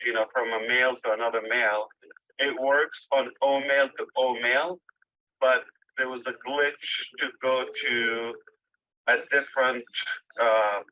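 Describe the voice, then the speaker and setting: A man giving an informal update to members as a company leader on a group call, speaking haltingly with pauses mid-phrase. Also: mid-range voice. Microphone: phone or internet call line. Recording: dull lo-fi phone call audio.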